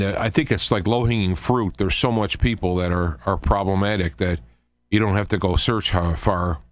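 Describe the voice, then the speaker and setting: A man hosting a talk radio show, giving informal political commentary in a halting, rambling way with conversational asides. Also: on the low side, dry recording.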